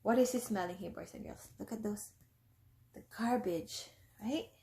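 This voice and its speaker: A woman's voice: medium pitch, moderate pace, dark tone. A woman, a teacher, reading a picture book aloud to young children, often stopping to explain words and ask them questions.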